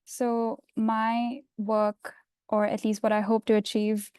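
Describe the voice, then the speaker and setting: A woman in her twenties from India, a university student, speaking in a measured, soft-spoken way on a panel. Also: a little high, little pitch movement, clean tone, dry recording, studio quality. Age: 20s. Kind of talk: panel introduction.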